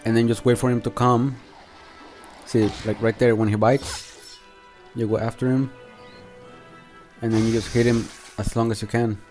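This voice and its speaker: Mellow-voiced man doing casual game commentary. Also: medium pitch, little pitch movement, moderate pace, pauses often, soft background music. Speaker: video game review channel host. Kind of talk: casual unscripted gameplay commentary.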